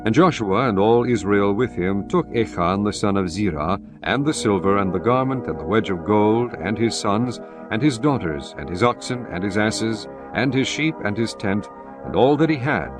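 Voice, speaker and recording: A man narrating scripture in a measured, solemn, formal way. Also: fairly low pitch, animated, music in the background.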